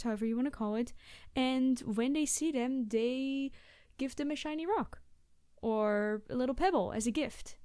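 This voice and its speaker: A woman acts out a sweet young biologist in an ASMR roleplay. Her voice is high and very smooth, recorded dry and close. She is a friendly animal enthusiast who explains eagerly, with small hesitations, straight to the listener. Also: a little mellow, no room sound, close to an everyday voice. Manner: gushing, hesitant, chatty explaining.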